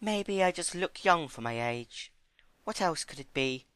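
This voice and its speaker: A gentle, timid young female pony played by a man reading a story aloud. The voice sits high and light, earnest and a little hesitant, with lively swings in pitch. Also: a little slow, smooth, dry recording. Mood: musing, hesitant.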